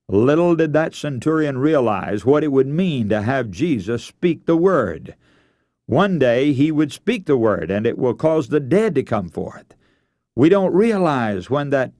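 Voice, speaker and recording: A man's voice: medium pitch, darkish tone, dry recording, clean studio sound. A man preaching a radio sermon, earnest and emphatic, telling stories and posing rhetorical questions.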